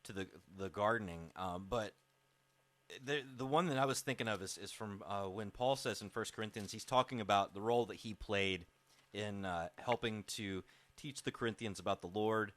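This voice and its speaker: A man's voice: on the low side, normal speed, fairly bright tone. A man hosting a radio show, talking in a casual, conversational and reflective way.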